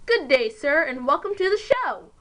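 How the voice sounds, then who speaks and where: A showy, upbeat talk-show host from an amateur puppet play, put on by a young woman. The voice is fairly high and greets and presents in a scripted patter. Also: expressive, moderate pace, a bit bright.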